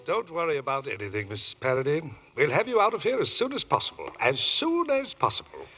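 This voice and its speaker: An actor playing a fussy, reassuring older English solicitor in a proper, avuncular manner, in 1940s radio drama style. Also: male voice, on the high side, sing-song, fast talker, soft background music. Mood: soothing, brisk. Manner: avuncular, proper, swooping emphasis. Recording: muffled old radio recording.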